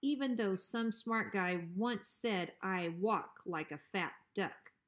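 A woman's voice: medium pitch, slow, fairly bright tone. A woman plays a big, sloppy bully of a Rottweiler in a storybook. He taunts in folksy slang and mocks with menace. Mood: mock innocent. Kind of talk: children's storybook reading.

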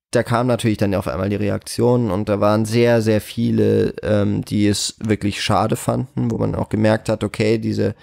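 A man in a casual interview as a guest, slightly croaky from a cold, speaking in a hesitant, reflective way. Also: on the low side, a little mellow, no room sound, studio quality. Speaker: interview guest, cinema manager.

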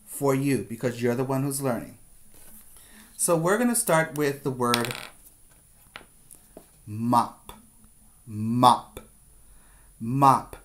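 A middle-aged man giving a preschool lesson as a teacher, with deliberate, repetitive step-by-step instructions, recorded indoors on a webcam. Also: mid-range voice. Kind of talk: instructional video lesson for young children. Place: small room indoors. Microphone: webcam at desk distance.

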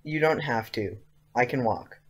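An actor playing an earnest, persistent teenage schoolboy with casual, youthful phrasing in an audio drama. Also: male voice, medium pitch, lively intonation, unhurried, slightly rough. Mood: questioning, reluctant.

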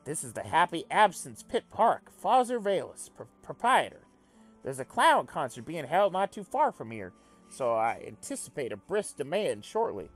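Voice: medium pitch, big pitch swings, moderate pace, faint music behind, low-quality recording. A reader voicing a zealous, bouncy male alien revolutionary who preaches in fervent speeches.

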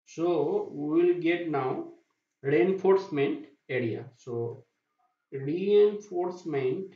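Casting: male technical instructor, unhurried, higher pitch, roomy and dull lo-fi sound. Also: warm tone. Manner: slow, repetitive, asks and answers own questions. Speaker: welding inspection instructor. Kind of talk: whiteboard math tutorial. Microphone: voice-over to screen drawing.

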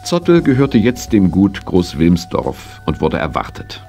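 An actor as the storyteller narrating a children's tale in measured, scripted style. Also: male voice, sits low, wildly swooping pitch, creaky, mellow, soft background music, exaggerated voice.

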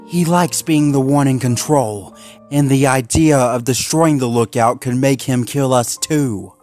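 A man's voice: medium pitch, a little slow, a little mellow, soft background music, pitched above the performer's own voice, close to an everyday voice. A man voicing a determined young male Labrador dog who talks in an intense, resolute way. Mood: scheming.

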